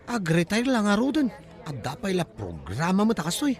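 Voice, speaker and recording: A man's voice: high voice, huge pitch swings, normal speed. A man acting an impatient, money-minded grumbler in a comedy audio drama, whiny.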